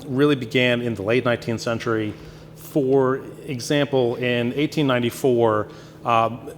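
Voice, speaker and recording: A man's voice: medium pitch, a little hiss or hum. A man from Virginia's Shenandoah Valley giving a conference talk with a stuffy nose, speaking conversationally with many fillers and restarts. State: stuffy nose from sinus infection. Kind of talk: lecture presentation. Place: conference hall. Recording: long hall reverb, faint ambience.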